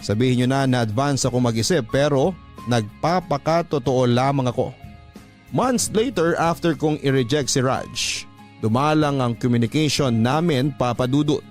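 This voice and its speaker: A man's voice: medium pitch, normal speed. A man with a Filipino accent reading a love letter in a dramatic, sentimental radio storytelling style. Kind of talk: scripted narration, radio storytelling. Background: music under the voice.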